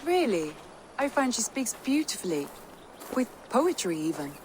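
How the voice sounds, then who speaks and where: A woman playing a composed, loving Norse stateswoman in a video game, with formal, deliberate and sincere delivery. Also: a little high, very animated. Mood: lightly playful. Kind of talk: video game dramatic dialogue. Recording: outdoor ambient noise behind voice.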